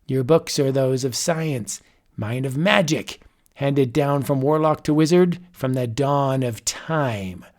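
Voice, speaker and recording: A man's voice: mid-range voice, slow, natural voice. A man voicing a grandiose, unhinged old male warlock who rants theatrically and rises to shrieks. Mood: gloating. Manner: ranting, theatrical, rising to shrieks.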